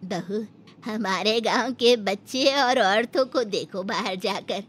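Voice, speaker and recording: Woman acting slow-talking old village granny. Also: medium pitch, a little hiss or hum, close to an everyday voice. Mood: scolding. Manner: fussing, scolding affectionately. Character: caring, blunt old village grandmother-in-law. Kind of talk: Hindi animated moral story acting.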